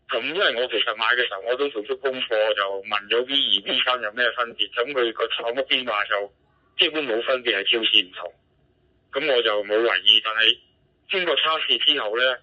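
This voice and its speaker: A man with a Hong Kong Cantonese accent chatting casually as an amateur operator over a two-way digital radio. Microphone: radio transceiver microphone. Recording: muffled low-fidelity digital radio transmission.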